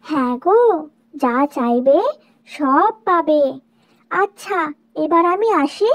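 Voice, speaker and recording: A woman voicing a kindly little magic bird in a doll story, unhurried and instructive as she gives warnings. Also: high-pitched, lively intonation, a bit bright, full character voice. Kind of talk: dramatized doll-story dialogue.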